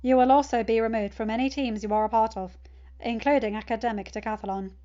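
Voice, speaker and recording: A woman voicing a courteous, authoritative male school principal in a story reading, speaking in a formal, measured and accommodating manner. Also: on the high side, fairly even intonation, dark tone, natural voice. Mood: stern. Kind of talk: audiobook character voice for fan fiction. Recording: dull lo-fi home recording.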